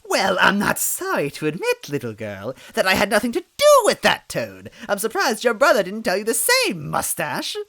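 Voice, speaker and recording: A woman's voice: medium pitch, wildly swooping pitch, moderate pace, vocal fry, hardly any pauses, fairly bright tone, no echo, pitched above the performer's own voice, heavily acted. A woman voicing a hideous, gleefully cruel bean witch in a storybook reading, taunting with a theatrical sneer. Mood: gleeful mockery.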